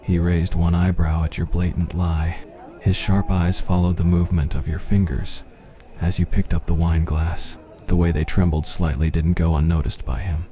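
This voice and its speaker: A man's voice: sits low, level tone, normal speed, warm tone. A man reading a scripted fantasy roleplay as ASMR story narration.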